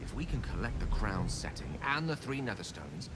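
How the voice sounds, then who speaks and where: Ambitious wizard scholar for a game, dramatic and eloquent, lively pitch, noisy ambience behind. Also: male voice, moderate pace. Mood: musing. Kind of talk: video game voice acting.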